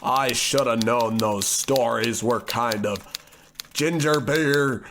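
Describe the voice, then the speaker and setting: A man playing a panicky, pompous well-to-do young gentleman narrating a comedic old-time radio horror tale. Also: mid-range voice, takes it slowly, noisy background. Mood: frantic, doomed. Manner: pompous, theatrical.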